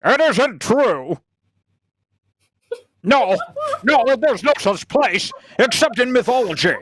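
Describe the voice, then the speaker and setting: A woman's voice: on the high side, big pitch swings, slow, background noise. A woman playing an anxious, hesitant young male scientist with orthodox beliefs in a radio sci-fi drama. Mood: incredulous. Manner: hesitant, incredulous, protesting. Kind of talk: cold-read old-time radio sci-fi drama.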